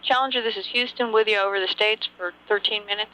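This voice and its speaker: Crisp woman mission controller on radio. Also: mid-range voice, steady tone, quick pace, sharp tone. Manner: crisp, procedural radio phrasing. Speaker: capsule communicator at mission control. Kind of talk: air-to-ground radio communication. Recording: dull lo-fi radio with faint hiss.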